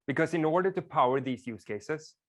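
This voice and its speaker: A man's voice: a little high, lively intonation, brisk. Swedish man lecturing casually. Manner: casual, explanatory, with filler "like". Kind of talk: classroom lecture.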